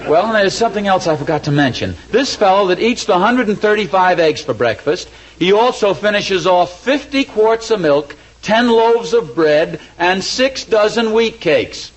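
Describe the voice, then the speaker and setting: A man's voice: high-pitched, lively intonation, moderate pace, low-quality recording. An actor playing a scheming, mildly exasperated husband and father in an old-time radio comedy, chatty and mock-earnest.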